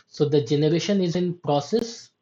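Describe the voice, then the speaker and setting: A woman demonstrating her software in a slow, explanatory way, often restarting her sentences. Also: low-pitched, dull audio. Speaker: app developer giving a demo. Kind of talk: screen-recorded software walkthrough.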